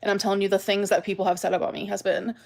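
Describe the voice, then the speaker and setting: A woman's voice: medium pitch, little pitch movement, rapid-fire, vocal fry. A woman video creator talking casually to her viewers, emphatic and defensive, often repeating herself.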